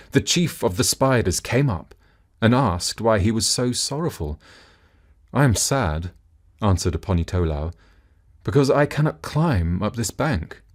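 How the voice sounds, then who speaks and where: A man's voice: fairly low pitch, a little mellow. A man reading a fairy tale for children as a scripted narration. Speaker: storyteller.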